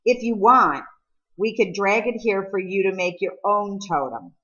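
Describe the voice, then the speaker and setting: A woman voicing an earnest, patient teenage boy in a novel, calm and conciliatory. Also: mid-range voice, measured pace, dull audio, voice pushed up, natural voice. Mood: restrained, conciliatory. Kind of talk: audiobook character dialogue.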